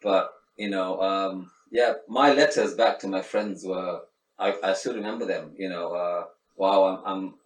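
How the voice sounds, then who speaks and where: A man's voice: deep voice, fairly even intonation, a little slow. A middle-aged man from Sri Lanka, talking in a reflective, casual interview with many restarts and fillers. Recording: echoey room, faint background noise, mic at a distance.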